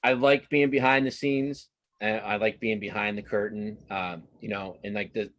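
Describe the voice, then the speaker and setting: A man with a fairly low voice talks casually as an interview guest. His delivery is halting and self-deprecating, and he often doubles back on his words as he works out a thought. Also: fairly even intonation, a bit bright. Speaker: interview guest, comic strip cartoonist.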